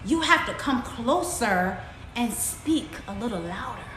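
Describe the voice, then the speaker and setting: A woman playing a sly old storybook fox who coaxes slowly and pretends to be hard of hearing. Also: a little high, lively intonation, a bit bright, reverberant, slight background noise, rough recording. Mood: sly, coaxing.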